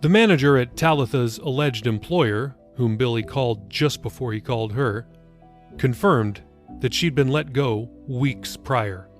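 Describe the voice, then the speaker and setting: A man reading his own novel aloud as scripted audiobook narration. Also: mid-range voice.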